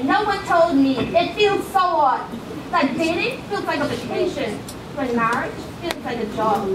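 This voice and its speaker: A woman delivers a rhymed, sermon-like poem in a strikingly high voice. Her delivery is emphatic and rhythmic. She is heard from far off in an echoey room with clear background noise. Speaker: spoken word poet at a church. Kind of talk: rhymed spoken word poetry recital, sermon-like. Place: church, live before a congregation.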